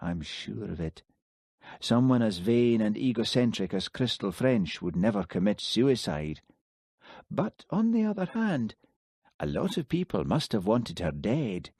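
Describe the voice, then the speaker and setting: A male narrator voicing a dogged village policeman from the Scottish Highlands, speaking in a dry, sarcastic way. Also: very animated, unhurried, a little mellow, breathy edge, no echo, full character voice. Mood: brooding.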